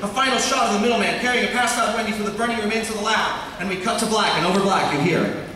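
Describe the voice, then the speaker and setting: High-voiced, rapid man narrating in echoey hall. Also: bright, forceful tone. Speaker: stage directions reader. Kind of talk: animated scripted narration at live table read. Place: large convention panel room, on PA. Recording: echoey hall, far off, crowd noise. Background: audience crowd.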